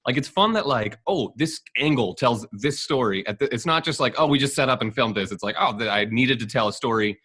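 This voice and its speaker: A man's voice: mid-range voice, lively intonation, fairly quick, hardly any pauses, fairly bright tone, husky. Rambling young man, casual. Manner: rambling, self-deprecating, lots of fillers. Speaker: actor in the ad. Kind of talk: casual chat.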